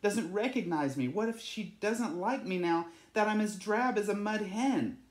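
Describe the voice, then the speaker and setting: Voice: mid-range voice, lively intonation, normal speed, voice pushed up, lightly acted. A storyteller voicing a big, lovelorn male bird with a plaintive, wistful manner for a children's storybook. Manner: plaintive, sad delivery. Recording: echoey room.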